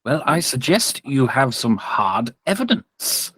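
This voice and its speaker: A man's voice: medium pitch, big pitch swings, slow pace, a bit bright, slightly rough, no room sound, heavily acted, rough recording. Man playing a pompous, formal church father. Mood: outraged, offended. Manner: formal, stately, indignant when challenged.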